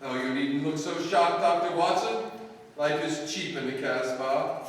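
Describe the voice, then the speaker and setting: An actor playing a smooth, devious expatriate English impostor, suave and genteel, in a radio drama. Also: male voice, on the high side, a little slow, a little hiss or hum, lightly acted. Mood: suave, cynical. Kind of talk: old-time radio drama acting. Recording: echoey hall, distant mic.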